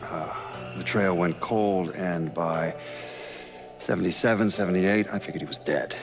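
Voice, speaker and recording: An actor playing a wry, seasoned American federal agent, recounting a case in a measured documentary-interview style in a video game. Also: male voice, low-pitched, music in the background. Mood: reflective.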